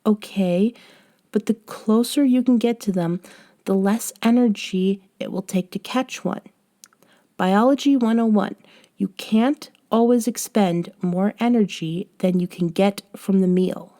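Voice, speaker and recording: Roleplay audio: young female friend played by a woman, patient but a little bossy, soft-spoken, warm dark tone, slow delivery. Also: mid-range voice, lightly acted. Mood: patient, teasing. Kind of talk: scripted roleplay audio, one-sided conversation.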